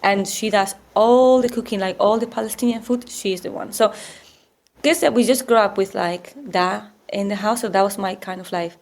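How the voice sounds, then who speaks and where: A woman from southern Spain with a Spanish accent, a guest talking in an animated, chatty way with many fillers. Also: medium pitch, moderate pace. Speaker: guest, embroidery workshop co-founder. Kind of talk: casual interview chat.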